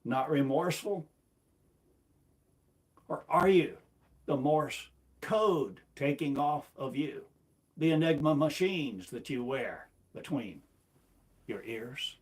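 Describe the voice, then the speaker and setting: A man's voice: mid-range voice, animated, takes it slowly, a little mellow. A man delivering a rambling, self-recorded sermon, asking one repetitive, incantatory rhetorical question after another. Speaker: self-styled preacher.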